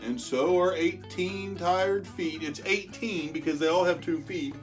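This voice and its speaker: A storyteller reading a rhyming picture book aloud to children in an expressive way, stretching words for emphasis and chatting with young listeners. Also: mid-range voice, measured pace, soft background music. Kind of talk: animated children's read-aloud of rhyming verse.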